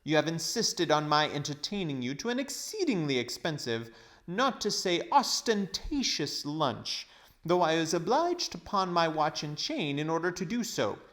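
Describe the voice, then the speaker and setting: A storybook older gentleman, pitched high and loud. He is pompous and cowardly, fussing in wordy, offended outrage with a theatrical whine. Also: big pitch swings, moderate pace. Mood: indignant, aggrieved. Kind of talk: storybook character voice.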